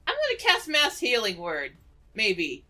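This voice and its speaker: A woman's voice: mid-range voice, wildly swooping pitch, measured pace, sharp tone. A woman player in a tabletop role-playing game, casually describing her character's actions and thinking through rules.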